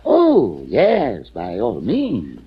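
Silky murderous fake doctor, pompous n flowery, pitch swoops wildly, old muffled radio drama. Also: male voice, wildly swooping pitch, very slow, a little hiss or hum, exaggerated voice. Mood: sly. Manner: theatrical, mock-courteous, flowery speech. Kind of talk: 1940s radio acting. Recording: dull old 1940s radio recording.

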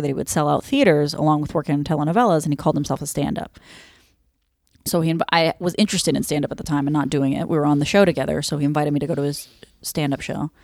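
A woman with a slightly low voice chatting casually, her story running on and breaking off mid-thought. The sound is dry and close, with no room around her. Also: no room sound. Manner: animated storytelling, trailing off mid-sentence. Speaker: comedian. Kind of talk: casual chat.

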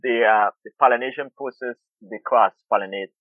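A man's voice: mid-range voice, moderate pace, dry recording. A middle-aged man from Guyana, speaking as an interview guest about farming, thoughtful and often repeating words as he searches for them. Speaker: urban farmer and food activist. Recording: tinny phone-like line.

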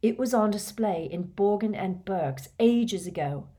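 A woman's voice: mid-range voice, animated, measured pace, warm tone, husky. A woman voicing an urgent, brave teenage schoolboy in clipped phrases, as part of a storybook reading. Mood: tense, grave.

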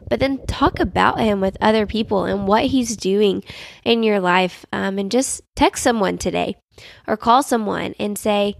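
Young woman, lilt, earnest podcast host, husky from a sinus infection, bits of vocal fry, dead-dry sound. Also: medium pitch, unhurried, no echo. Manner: chatty, earnest, lots of fillers like "um" and "like". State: recovering from a sinus infection, voice cutting in and out. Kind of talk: casual devotional podcast talk.

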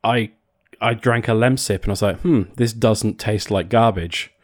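A man's voice: lowish voice, normal speed. A man hosting a podcast, chatty and upbeat as he steers the conversation. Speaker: podcast host. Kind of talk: casual chat.

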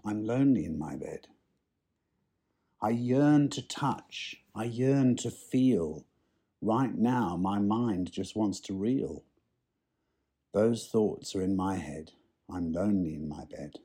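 A man with a husky voice giving a poetry reading. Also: on the low side, lively intonation, slow, mellow. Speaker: poem reader.

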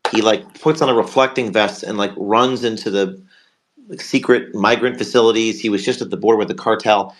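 Hoarse male talk show host. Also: lowish voice, steady tone. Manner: rapid, rambling, promotional. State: hoarse from a cold. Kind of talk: live online talk show.

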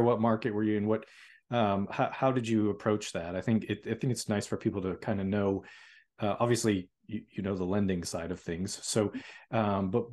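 A man's voice: on the low side, a little mellow. A man hosting a podcast interview, friendly, often repeating words and restarting sentences. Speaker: podcast host.

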